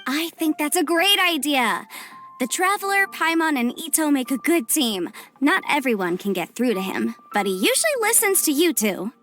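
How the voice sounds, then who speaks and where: An upbeat young woman chats in a high voice with lively, bouncing intonation. She is breezy and conversational, in anime game style, over music. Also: normal speed. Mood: upbeat, musing.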